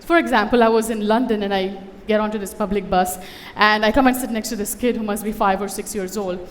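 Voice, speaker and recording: A woman's voice: medium pitch, fairly even intonation, fairly quick, long reverb tail. A woman who grew up in Dubai, giving a witty, conversational personal talk on a conference stage with wry asides. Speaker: conference speaker. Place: conference stage before an audience.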